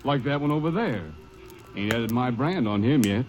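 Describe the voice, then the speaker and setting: An actor playing a defensive rancher in a radio drama, talking in a colloquial drawl. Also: male voice, mid-range voice, lively intonation, moderate pace, lightly acted. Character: nervous, defensive male rancher.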